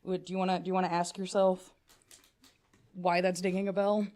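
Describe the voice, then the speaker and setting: A woman's voice: fairly low pitch, little pitch movement, brisk, a little mellow. Casual, foul-mouthed woman running a tabletop game. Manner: casual, profane, lots of filler words. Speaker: game master.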